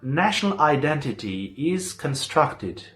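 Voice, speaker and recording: A man in his twenties from Romania, giving measured, reflective interview answers. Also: a little high, sing-song, a bit bright, faint music behind. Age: 20s.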